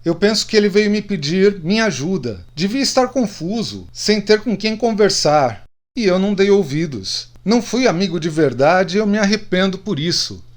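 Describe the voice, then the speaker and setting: A man's voice: sits high, very animated, moderate pace. A man with a Brazilian accent playing a slightly drunk middle-aged friend who confides plainly in a radio drama. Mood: regretful.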